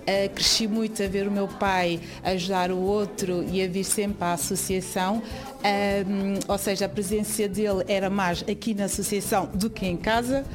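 A young woman from Guinea-Bissau speaks as a volunteer giving an informal guided explanation. Her pitch is average, and she repeats phrases for emphasis. Music plays clearly behind her. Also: level tone, moderate pace. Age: young adult. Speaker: association volunteer.

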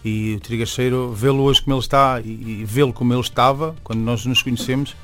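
A man's voice: medium pitch, fairly even intonation, fast talker, a bit bright, faint music behind. A man from Venezuela, a native Spanish speaker, chatting casually as an interview guest, hesitating and repeating words. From born in Venezuela, in Portugal from age ten.

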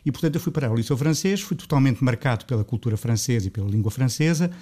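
Reflective Portuguese man from Lisbon. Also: very fast. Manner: thoughtful, restarts phrases, rises in pitch when animated. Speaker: composer guest. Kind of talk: radio interview.

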